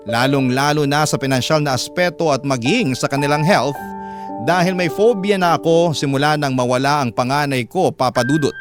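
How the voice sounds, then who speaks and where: A Filipino man reads a listener's letter as dramatic radio storytelling. His voice is a little high for a man, and music plays clearly behind it. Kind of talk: dramatic scripted narration.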